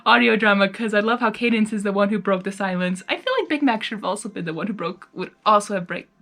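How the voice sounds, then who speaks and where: A woman reading fan fiction aloud, narrating and breaking into animated, giggly asides. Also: mid-range voice, fast talker. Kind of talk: story narration with excited casual asides. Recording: music behind the voice. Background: music.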